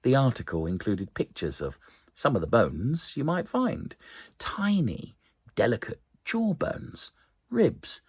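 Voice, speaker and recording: A man reading an eerie short story aloud as a storyteller, with a breathy, husky voice and hushed, suspenseful, deliberate phrasing full of dramatic pauses. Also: mid-range voice, sing-song, dry recording.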